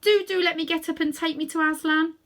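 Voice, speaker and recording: A woman's voice: very high voice, fairly even intonation, a little fast, fairly bright tone, pitched above the performer's own voice. A woman voicing an earnest, polite young boy in a children's storybook, breathless and pleading with urgency. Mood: begging. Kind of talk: children's storybook character reading.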